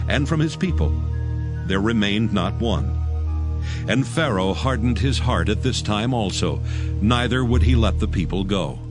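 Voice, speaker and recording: A man reads scripture narration for a dramatized production. His voice is on the low side, with music clearly audible behind it.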